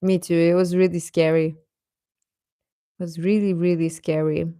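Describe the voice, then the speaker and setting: A woman's voice: on the low side, little pitch movement, normal speed, dark tone, no echo, studio quality. A woman chatting casually as a livestream host, talking directly to viewers and often repeating her phrases.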